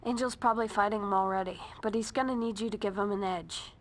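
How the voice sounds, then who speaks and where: A woman playing a shy young witch in a video game, hesitant and stumbling over her words. Her voice sits at a plain middle pitch. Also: steady tone, fast talker, lightly acted. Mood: timid. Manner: hesitant and stammering.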